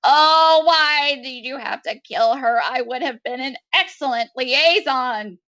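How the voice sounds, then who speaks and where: A woman's voice: sits high, slow pace, bright, forceful tone, dull audio, pitched above the performer's own voice, exaggerated voice. A woman voicing a panicky, resentful male alien insect in a story reading, with wailing, sarcastic complaints. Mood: distressed, accusing.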